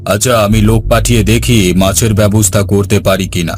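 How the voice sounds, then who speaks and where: A man's voice: on the low side, fairly even intonation, normal speed, lightly acted. A man playing a commanding, folksy village headman in a cartoon, with music behind his voice. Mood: questioning. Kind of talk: cartoon dialogue.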